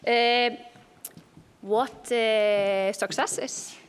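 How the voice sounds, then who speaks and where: A woman hosting a forum, introducing the next speaker in a halting way with many fillers. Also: a little high, fairly even intonation, in a large hall, a little hiss or hum. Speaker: event host.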